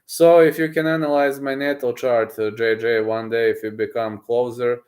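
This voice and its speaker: A man's voice: medium pitch, moderate pace. Boastful male streamer. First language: Serbian. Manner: boastful, excitable, repeats himself. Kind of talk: casual livestream chat, reading messages aloud.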